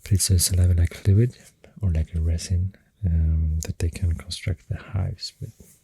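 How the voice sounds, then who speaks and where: Swedish accent, ASMR rambling, slow halting delivery, soft mellow tone, husky half-whisper, close microphone. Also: sits low, level tone. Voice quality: breathy, husky, often slipping into whisper. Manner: slow, hesitant, pausing between phrases. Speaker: ASMR creator. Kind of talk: soft-spoken ASMR rambling while drawing.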